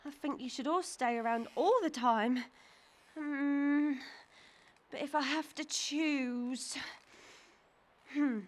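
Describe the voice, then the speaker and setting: High-voiced, earnest young girl. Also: slow, pauses often. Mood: thoughtful. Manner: thoughtful, gentle. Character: polite, earnest, caring young girl adventurer. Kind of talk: family audio drama acting.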